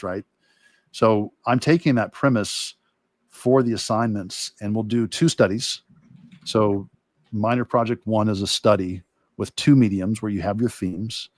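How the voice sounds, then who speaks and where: A man who teaches art, talking casually and thinking aloud in a halting way during a livestream demo. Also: on the low side, no echo, studio quality. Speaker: art instructor and illustrator.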